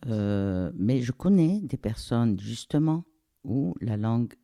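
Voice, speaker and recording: A middle-aged woman from Algeria, speaking reflectively and pausing to search for words in a casual interview. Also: rumbling low, expressive, gravelly, dark tone, no echo, clean studio sound. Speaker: interviewee, the host's mother.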